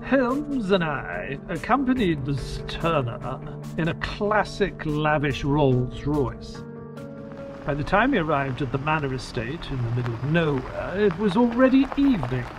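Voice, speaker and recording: A man acts an earnest gentleman companion in a detective audio play. His voice sits fairly high and moves with lively inflection. He is polite and educated, painting scenes like a storyteller. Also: measured pace, music in the background. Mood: narrating. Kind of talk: audio drama narration and dialogue.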